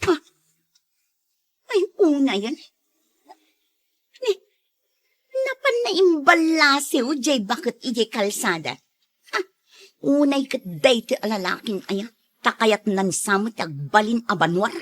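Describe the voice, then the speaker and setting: A woman acting a sharp-tongued, easily annoyed moneylender and wife in an Ilocano audio drama, exclaiming and complaining. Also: on the high side, animated, slow pace, pauses often. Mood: grumbling.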